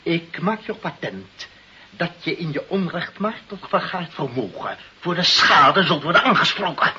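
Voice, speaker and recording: An actor in a Dutch radio play playing a pompous, blustering government director with a preachy, repetitive way of talking. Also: male voice, on the high side, expressive, normal speed, pushed and bright. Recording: muffled, hissy old radio recording.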